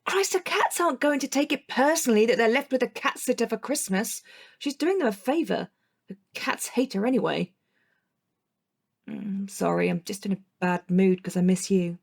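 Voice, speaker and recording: A woman's voice: a little high, animated, normal speed, vocal fry. An actress with a British accent playing a blunt, sweary young woman in a naturalistic audio drama. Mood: wistful, grumbling. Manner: informal, exasperated, sweary. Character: Rachel, blunt, sweary young woman missing her partner.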